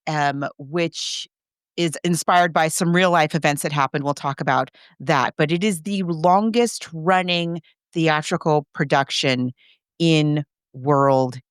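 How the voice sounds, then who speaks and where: A woman with a slightly low, clear voice hosts a casual podcast. She talks in a chatty, emphatic way and thinks aloud as she goes. The sound is close and dry. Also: takes it slowly, no room sound. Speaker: podcast co-host.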